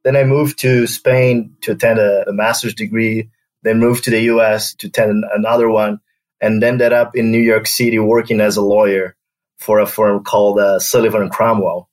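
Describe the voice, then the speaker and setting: Give me a man with a Brazilian accent answering interview questions in a measured way. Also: fairly low pitch, level tone, rough recording. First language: Portuguese. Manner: measured, uses filler "uh" and "right?" often. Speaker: guest, company co-founder. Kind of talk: conversational interview.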